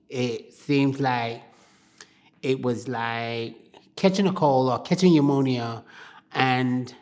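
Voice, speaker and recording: A woman's voice: rumbling low, darkish tone. An older woman from Barbados, telling her life story in an oral history interview in a plain-spoken, reflective way.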